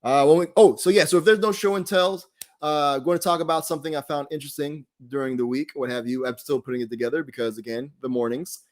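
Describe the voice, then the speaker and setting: A man's voice: fairly high pitch, fairly even intonation, quick pace, darkish tone. A man casually hosting a live online group, talking off the cuff in a rambling, laid-back way and often trailing off mid-thought. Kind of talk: casual, off-the-cuff livestream talk.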